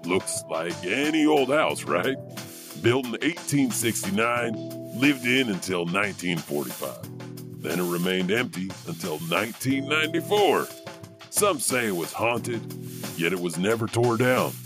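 A man's voice: fairly low pitch, very animated, over music. A man playing an eccentric, awkward janitor who hosts a horror audio anthology with rambling asides. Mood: chatty. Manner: halting, rambling, chuckling asides. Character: eccentric, awkward, creepy male janitor storyteller. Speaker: host. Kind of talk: comic horror anthology hosting.

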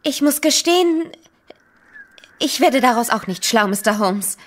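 An actress playing a polite, composed young gentlewoman with a formal, earnest manner, in a German audio drama. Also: a little high, lively intonation, measured pace, in a large hall.

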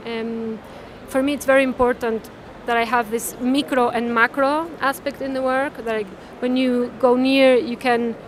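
A woman, talking haltingly and thoughtfully about her artwork, often tagging phrases with "no?". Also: a little high, level tone, slight background noise. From Mexico. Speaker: artist. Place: large indoor art fair hall. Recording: crowd chatter in a busy hall.

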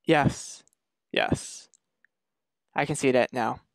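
An actor playing a bewildered, anxious young husband, halting and earnest, in an old-time radio mystery. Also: mid-range voice, creaky, no room sound, studio quality. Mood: stunned.

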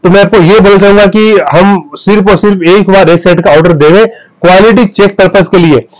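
A man in his thirties giving an emphatic, boastful sales pitch as a wholesale clothing seller. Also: steady tone, a little fast, poor audio. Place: small clothing wholesale shop, indoors. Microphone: clip-on lapel mic.

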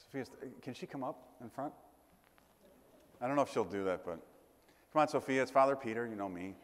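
A middle-aged man, a priest, giving a conversational sermon in a church, often pausing with fillers and restarting phrases. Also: mid-range voice, lively intonation. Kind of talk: sermon to a congregation. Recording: long church reverb.

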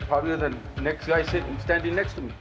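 Defensive man under questioning, rapid speech, bodycam sound with music. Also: a little high, steady tone, muffled recording. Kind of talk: spontaneous answers to questioning. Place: public courthouse building.

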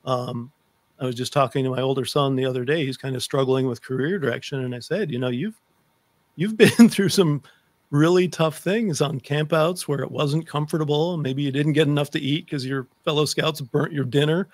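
Middle-aged male host, higher-pitched, dry sound. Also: moderate pace, no room sound. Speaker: talk show host. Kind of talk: conversational video interview. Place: home room lined with books, indoors. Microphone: close desk microphone on a video call.

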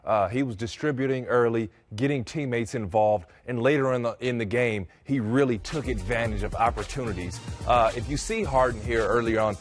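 An older man in a TV studio giving a measured, coach-like breakdown of plays. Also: medium pitch. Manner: measured, explanatory. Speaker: studio analyst.

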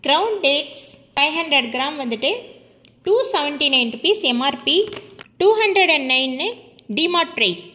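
Female family vlogger, Tamil Indian accent, high-pitched and sharp, itemized haul narration, echoing room. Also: expressive, normal speed. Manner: addresses viewers as friends, itemized listing delivery. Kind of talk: shopping haul voice-over listing items and prices. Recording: long hollow reverberant room echo.

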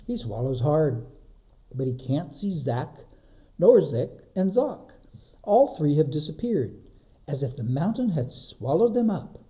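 A man reading a children's book as a librarian, in expressive narration with dramatic, measured phrasing. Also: on the high side, muffled recording.

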